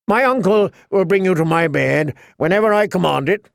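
A man voicing a cruel, spoiled teenage boy king who taunts and sneers in a shrill voice. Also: moderate pace, gravelly, no echo, studio quality. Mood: taunting.

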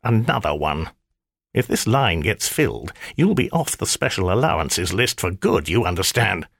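A crotchety old sorcerer, played by a man, low-voiced and sharp-tongued. He scolds in clipped, dryly sarcastic phrases with a lively lift, recorded close and dry. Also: moderate pace, gravelly, slightly rough, no echo, exaggerated voice. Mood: stern. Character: irritable old male magician, stern and sardonic. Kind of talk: audiobook character voice.

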